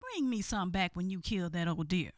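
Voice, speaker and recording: A woman's voice: on the low side, very animated, normal speed, no echo, voice pushed down. A woman voicing a cheerful rural wife who talks in an affectionate, folksy way.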